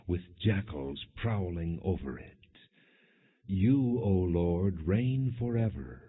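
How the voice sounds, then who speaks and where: A man reading scripture aloud as a solemn audiobook narration, with measured, deliberate phrasing. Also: low-pitched, slightly rough. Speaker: audiobook Bible reader. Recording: muffled, phone-like narrow-band audio.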